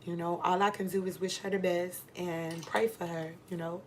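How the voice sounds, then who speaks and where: A woman's voice: on the low side, level tone, moderate pace, a little hiss or hum. A middle-aged American woman giving a candid motivational monologue to viewers, speaking emphatically and repeating phrases for stress. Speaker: vlogger giving advice to women.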